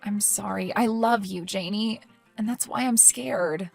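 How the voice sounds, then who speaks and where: A woman's voice: lowish voice, normal speed, vocal fry, fairly bright tone, no echo, faint music behind. A woman playing a concerned, judgmental sister in dramatic dialogue, earnest and apologetic in manner. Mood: apologetic, worried.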